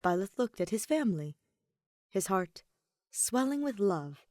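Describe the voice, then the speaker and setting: A woman reading fan fiction as scripted dramatic narration, soft, measured and expressive. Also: medium pitch, no echo, clean studio sound. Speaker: fan fiction audiobook reader.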